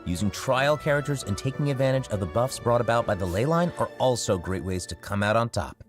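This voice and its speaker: Man, mid-pitched, lively intonation, friendly scripted game presenter, music clearly behind. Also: fairly quick. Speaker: co-presenter of a video game update program. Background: background music.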